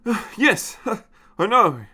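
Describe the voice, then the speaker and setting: A man's voice: sits high, very animated, slow, noisy background, pitched above the performer's own voice, exaggerated voice. A man voicing a nervous, kindly young man in an audio story, hesitant, stammering and politely awkward.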